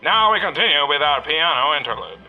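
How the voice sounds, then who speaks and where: A man's voice: on the high side, expressive, a little fast, narrow, tinny audio, natural voice. A man playing an irreverent studio announcer in a radio parody, mock-formal and wandering off script. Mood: dry, irreverent. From outside the US. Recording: music behind the voice.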